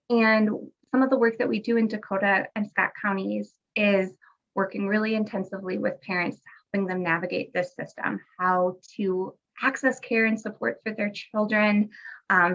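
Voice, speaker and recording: A woman presenting a webinar in a friendly, conversational way, recovering from a cold, heard over a video call. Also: mid-range voice. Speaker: guest presenter, parent educator. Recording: muffled lo-fi video call audio.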